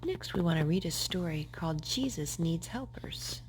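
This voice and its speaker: A woman reading a rhyming children's story aloud in a deliberate storyteller's way, phrased for young children. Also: fairly low pitch, lively intonation, noisy background.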